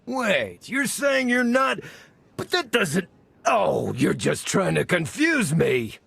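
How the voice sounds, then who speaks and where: An actor playing a vain, bumbling scoundrel gang leader in a video game, pompous and theatrical with dramatic pauses. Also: male voice, high voice, big pitch swings, gravelly, a bit bright, husky, no room sound, full character voice. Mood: flustered, defensive. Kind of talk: video game character acting.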